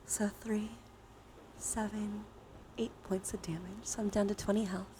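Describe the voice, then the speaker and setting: A woman hosting a casual ASMR-style live stream game, soft-spoken with half-whispered phrase endings and a casually sweary way of talking. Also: mid-range voice, level tone, measured pace, a little hiss or hum, low-quality recording.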